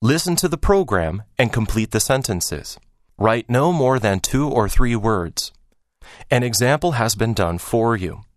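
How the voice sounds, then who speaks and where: Measured, formal exam announcer, dry sound. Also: medium pitch, lively intonation, dry recording. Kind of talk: scripted test instructions.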